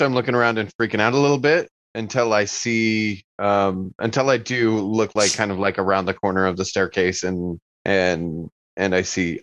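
Male roleplayer, lowish voice, casual improvised game talk, dry and slightly dull recording. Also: a bit bright, no echo. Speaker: tabletop roleplaying player.

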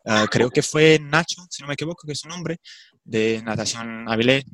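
A man's voice: medium pitch, moderate pace, fairly bright tone, dry recording. A young man from Portugal, a swim coach speaking Spanish with a Portuguese accent, talking thoughtfully and a little hesitantly in an online discussion. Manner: thoughtful, hesitant, apologetic about his Spanish.

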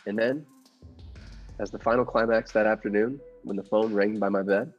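Guy acting as nervous henpecked husband telling his story radio-play style, bit husky, music behind him. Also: on the low side, steady tone, normal speed, muffled recording, natural voice. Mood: pleased, hesitant. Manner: hesitant, earnest, formal storytelling. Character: meek, nervous henpecked husband confessing his murder scheme. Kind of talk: improvised-cast classic radio play narration.

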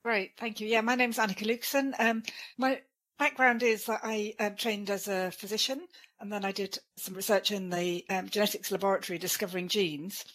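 A woman professor as a podcast guest, speaking in a brisk, articulate way with some fillers. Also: medium pitch, steady tone, a bit bright, rough recording. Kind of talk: podcast interview.

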